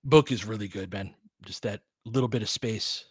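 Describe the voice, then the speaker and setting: A man's voice: fairly low pitch, moderate pace, breathy edge, no echo. Casual partisan male basketball commentator, dry sound. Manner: partisan fan, informal, rooting for his team. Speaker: play-by-play commentator and host. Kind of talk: live basketball play-by-play with casual analysis.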